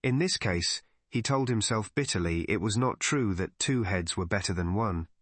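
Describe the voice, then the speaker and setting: A man reading literary mystery narration in a measured, deliberate way. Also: on the low side, expressive, dry recording. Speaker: audiobook narrator.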